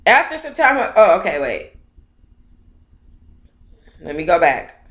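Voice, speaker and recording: A woman's voice: lowish voice, very animated, slow pace. A woman hosting an online book club, reading aloud and commenting in a blunt, informal, opinionated way.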